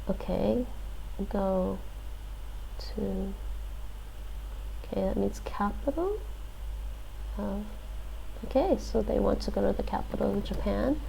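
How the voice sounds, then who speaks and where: Woman streamer mumbling thru an app lesson, lots of ums and restarts, gravelly low-key voice, faint room noise. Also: dark tone, low-quality recording. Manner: hesitant, many fillers and restarts. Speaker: live streamer. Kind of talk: thinking aloud while doing a language app.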